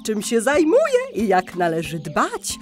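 A woman's voice: medium pitch, wildly swooping pitch, unhurried, music in the background. A woman voicing a cheerful, encouraging animal schoolteacher who talks to small children in a sing-song way. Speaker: teacher. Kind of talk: children's audio play acting.

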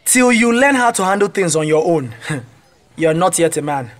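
A man's voice: a little high, expressive, normal speed, a bit bright. A man with a Nigerian accent playing a cocky, taunting young campus bully in a radio drama. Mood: mocking.

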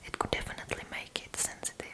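A woman in her twenties whispering softly and breathily in a gentle, intimate ASMR roleplay, close to the microphone. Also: fast talker. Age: 20s. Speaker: ASMR video creator playing a roommate. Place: small indoor room.

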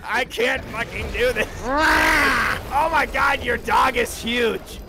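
A man's voice: very high voice, slow, heavy background noise. A man voicing a brash, foul-mouthed, exasperated cyborg ninja in a theatrical, improvised game fan dub. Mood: manic, defiant.

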